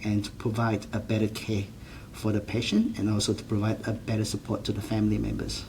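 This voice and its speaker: Malaysian man, low earnest voice. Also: monotone, fairly quick, a little mellow, slight background noise, low-quality recording. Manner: earnest, with frequent restarts and non-standard grammar. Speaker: general practitioner. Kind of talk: interview answers.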